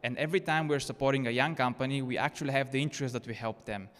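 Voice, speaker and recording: A man's voice: medium pitch, rapid-fire, a bit bright. Man keynoting in an echoey hall. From Bulgaria. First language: Bulgarian. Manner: earnest, persuasive, with repeated restarts. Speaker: keynote speaker. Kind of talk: conference presentation. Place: conference hall. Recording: reverberant conference hall.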